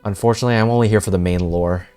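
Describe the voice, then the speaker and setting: A woman game streamer giving casual, slangy live reaction commentary with drawn-out exclamations. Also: rumbling low. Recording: game music behind the voice.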